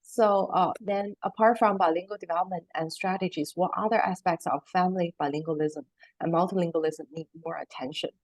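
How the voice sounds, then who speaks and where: A woman moderating an online Q&A, polite and measured, with some hesitant fillers. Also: lowish voice, steady tone, a little mellow, dry recording, rough recording. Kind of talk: webinar moderation. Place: online video call.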